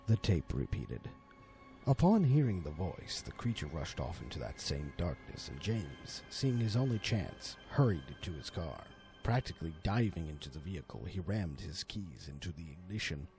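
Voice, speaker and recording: A man narrating a horror story in a theatrical, deliberate manner, as an amateur storyteller and voice actor. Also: deep voice, sing-song, a little mellow, faint music behind. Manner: theatrical, deliberate, swooping delivery.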